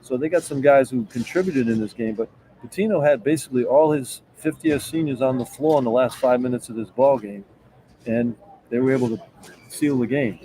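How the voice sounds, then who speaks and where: A man's voice: mid-range voice, brisk, mellow, low-quality recording. A man giving conversational postgame analysis as a radio color analyst, plainspoken and opinionated.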